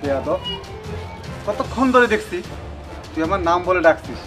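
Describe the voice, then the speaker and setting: An actor playing an arrogant, scolding officer who looks down on villagers, in a Bangladeshi accent. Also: male voice, sits high, lively intonation, normal speed, loud music behind the voice. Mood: irritated. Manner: haughty, scolding. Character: arrogant city officer scorning his village friend. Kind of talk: Bangla short-film drama acting.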